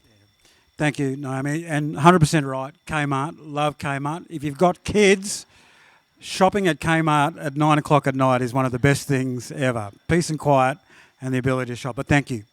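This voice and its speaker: A man's voice: on the high side, fairly even intonation, moderate pace, no room sound. A man moderating a conference panel, friendly and chatty as he introduces the speakers. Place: conference panel at a live event.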